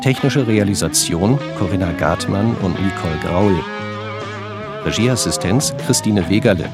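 A man's voice: deep voice, lively intonation, normal speed. A man reading scripted radio play credits as an announcer. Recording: loud guitar music behind.

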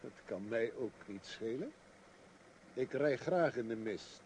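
An actor playing a gruff, reckless, secretive driver in a radio play. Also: male voice, mid-range voice, expressive, slow pace, a little mellow, slight background noise. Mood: gruff, careless. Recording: old radio recording, engine drone behind.

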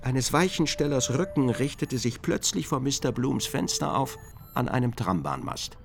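A man narrating a literary radio drama as an observant, measured storyteller. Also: medium pitch, lively intonation, gravelly.

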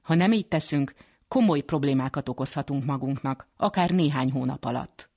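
A woman's voice: a bass voice, darkish tone, slightly rough. A woman presenting on radio, asking interview questions and reading scripted narration.

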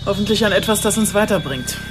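A direct, matter-of-fact female chief inspector played by a woman in a radio crime play. She is probing and insistent, with a middling pitch and music clearly behind her. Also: expressive, fast, close to an everyday voice. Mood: businesslike, insistent. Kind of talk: radio drama dialogue.

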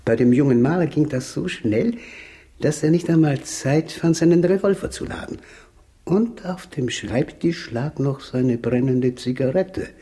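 An actor playing an obsessed, self-assured engineer and war veteran in a radio play, talking in deliberate, dramatic, insistent phrases. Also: male voice, mid-range voice, warm tone, husky. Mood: grave, suspenseful.

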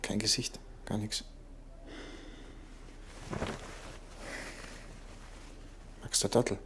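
An actor playing a laconic, wry wounded rocket technician in a naturalistic radio drama. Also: male voice, lowish voice, level tone, a little fast, noisy background, close to an everyday voice. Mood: suspicious. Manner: offhand, wry, colloquial.

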